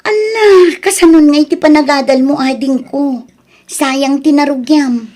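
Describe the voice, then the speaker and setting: Actress as a high-voiced, concerned Ilocano elder sister. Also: female voice, a little slow, dark tone. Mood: alarmed, scolding. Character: concerned, advising elder sister, exclamatory and pleading. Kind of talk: audio drama acting.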